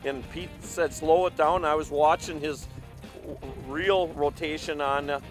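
A man with a slightly high voice hosts a fishing show from an open boat on a lake. He chats casually and exclaims over every fish. Music plays clearly under his voice. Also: measured pace. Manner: excitable, exclaims over each catch. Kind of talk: casual chat while fishing.

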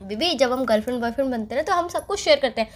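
A young woman with a distinctly high voice talks casually as a girlfriend on a call. She argues playfully and stubbornly, holding her position. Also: animated, very fast. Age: young adult. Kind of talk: casual couple chat.

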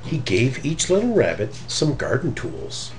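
A middle-aged man reads a picture book aloud for children. He takes his time, with expressive phrasing and a lively rise and fall in pitch. Faint music plays behind him. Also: measured pace, low-quality recording. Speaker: storybook reader. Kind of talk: children's story read aloud.

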